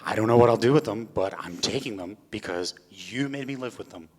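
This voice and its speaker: An actor playing a hurt, accusing husband in a live staged play reading, recorded in a theatre. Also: male voice, lowish voice, fairly quick, a little mellow, close to an everyday voice. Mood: wounded, bitter. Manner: accusing, wounded. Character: hurt, bitter husband of a detective.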